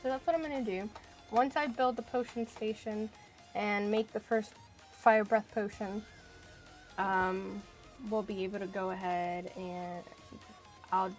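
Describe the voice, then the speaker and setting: A woman's voice: medium pitch, fairly even intonation, unhurried, pauses often, soft background music. A woman streaming a video game, chatting casually and thinking aloud as she plays. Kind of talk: casual live-stream chat while playing.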